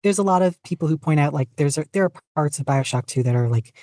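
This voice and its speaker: A woman's voice: low-pitched, lively intonation, fast talker, mellow, no echo, studio quality. A woman chatting casually as a podcast guest, rambling along with self-deprecating humor. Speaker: podcast guest, fanfiction writer. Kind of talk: casual chat.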